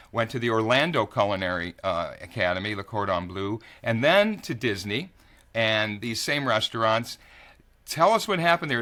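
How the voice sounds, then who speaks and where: Bright-voiced male interviewer. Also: medium pitch. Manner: upbeat, reads guest introductions. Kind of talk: conversational interview.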